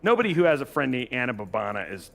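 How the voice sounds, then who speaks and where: A man's voice: mid-range voice, very animated, fast talker, creaky, a bit bright. A young man hosting a casual live stream while building a PC, playful and teasing with his co-host.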